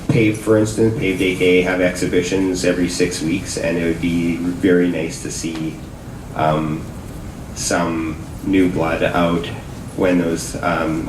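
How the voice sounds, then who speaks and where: A man speaking on an art panel, halting with many fillers, heard from a distant mic in a noisy, roomy gallery. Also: deep voice. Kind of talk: panel discussion.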